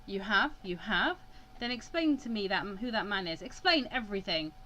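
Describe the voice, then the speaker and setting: A woman's voice: mid-range voice, lively intonation, moderate pace, pushed and bright, dull audio. Girl doing strict impatient mentor guy from a visual novel, serious and clipped, noisy recording. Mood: stern scolding. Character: stern, impatient male mentor of a student. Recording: clear background noise.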